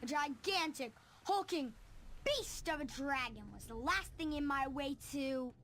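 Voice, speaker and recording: Eager high-pitched little granddaughter. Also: female voice, unhurried, a little hiss or hum. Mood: excited, grandly dramatic. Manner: excited, dramatic, childlike. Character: eager little granddaughter who loves dragons and adventure stories. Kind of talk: animated adventure game acting.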